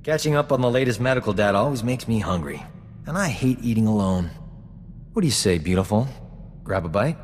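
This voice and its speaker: Low-voiced, cocky rogue space doctor. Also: male voice, expressive, brisk, a little mellow, long reverb tail, soft background music. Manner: smooth-talking, self-satisfied, glib. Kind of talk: video game dialogue acting.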